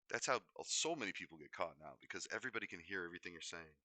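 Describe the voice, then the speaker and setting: A man's voice: low-pitched, animated, fast talker, bright, forceful tone, no echo. A man livestreaming in a rambling, unscripted way, often hesitating, digressing and correcting himself mid-thought. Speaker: livestream host.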